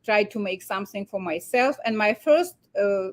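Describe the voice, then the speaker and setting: A middle-aged woman with a Ukrainian accent, a guest talking in halting English with many pauses and restarts. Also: mid-range voice, lively intonation. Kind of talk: casual interview chat.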